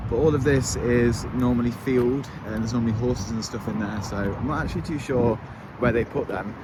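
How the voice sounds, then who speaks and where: A man in his thirties chats casually as a vlogger, commenting on what is around him outdoors. His voice sits at a middle pitch. Loud wind and outdoor noise run under his voice. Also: normal speed. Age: 30s. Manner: chatty, commenting on the scenery. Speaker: vlogger host. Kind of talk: casual walk-and-talk vlog. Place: outdoors by a flooded canal.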